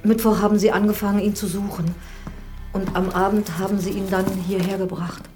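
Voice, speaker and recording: A woman acts out a village housekeeper for a radio drama. She is plain-spoken, deferential yet blunt, in a mid-pitched female voice. Music is clearly audible behind her. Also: level tone, brisk, close to an everyday voice. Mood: plain, reporting.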